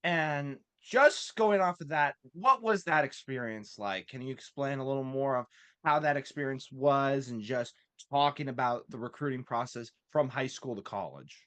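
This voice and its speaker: A man's voice: mid-range voice, normal speed, a bit bright, no echo. A man hosting a casual sports interview, asking informal, loosely strung-together questions. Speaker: interviewer, host.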